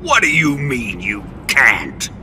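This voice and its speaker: An actor playing a menacing, imperious military colonel villain in a video game, with a theatrical, commanding manner. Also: male voice, mid-range voice, wildly swooping pitch, a little hiss or hum, full character voice. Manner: commanding, theatrical, deliberate, explodes into shouting. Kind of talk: dramatic video game acting.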